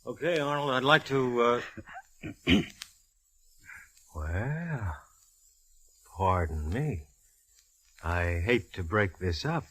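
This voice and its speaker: An actor playing a gruff, bossy male police lieutenant in a 1940s radio detective drama. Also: medium pitch, animated, drawn-out words, pauses often, fairly bright tone, full character voice, low-quality recording. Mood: awkward, curt. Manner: blunt, bossy.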